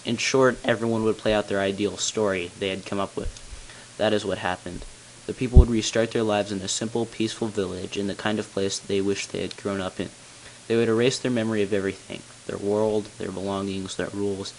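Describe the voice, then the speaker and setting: A man playing a tall, solemn bell ringer who reveals long-kept secrets in grave, deliberate narration for a game. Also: fairly low pitch, fairly even intonation, close to an everyday voice. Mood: solemn, explanatory. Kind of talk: scripted character narration, amateur game voice-over. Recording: hissy, noisy amateur home recording.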